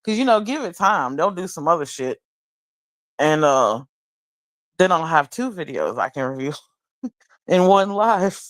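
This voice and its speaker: A woman hosts a late-night stream, speaking slowly and bluntly with plenty of profanity. She is high and drifts through her thoughts with restarts and pauses. The sound is dry and close, with no room around it. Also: sing-song, dry recording. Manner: blunt, profane, slow and musing with frequent restarts. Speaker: livestream host. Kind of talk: late-night casual commentary. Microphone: streaming mic.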